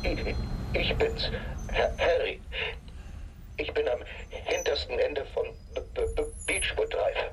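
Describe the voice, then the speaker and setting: A man's voice: on the high side, takes it slowly, long pauses. An actor playing a hard-drinking policeman with a heavy stammer, calling in on a noisy telephone line in a radio play. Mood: agitated.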